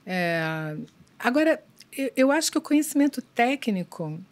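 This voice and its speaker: Measured middle-aged woman executive. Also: fairly high pitch, big pitch swings. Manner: measured, thoughtful, many filler pauses. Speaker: business executive guest. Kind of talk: conversational podcast interview.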